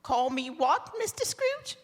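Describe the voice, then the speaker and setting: A woman's voice: high voice, very animated, a little slow, full character voice. A woman playing a timid, simple working-class housekeeper in live radio theatre, hesitant, plaintive and deferential. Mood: baffled. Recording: reverberant hall, live stage performance.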